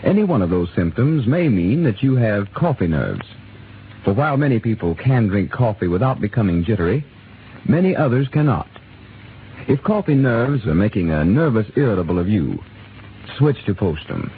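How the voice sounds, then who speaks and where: A man announcing a 1930s radio program and reading its sponsor's ad in a smooth, salesmanlike way. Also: fairly low pitch, normal speed. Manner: smooth, expressive salesmanlike delivery. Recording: tinny 1930s radio broadcast with hiss.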